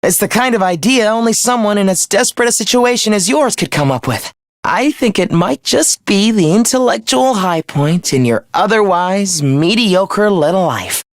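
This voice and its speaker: Smug, taunting young villain, swooping pitch. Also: male voice, animated, moderate pace, dry recording, studio quality. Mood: mocking, condescending. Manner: mocking, condescending, theatrical. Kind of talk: video game character acting.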